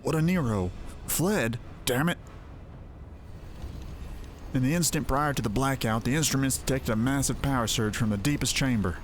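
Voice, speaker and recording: Brisk, wry military man played by an actor. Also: medium pitch, a little hiss or hum, natural voice. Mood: urgent, concerned. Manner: urgent, wry, informal. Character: brisk, gruff but caring male military ally over comms. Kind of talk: video game voice acting.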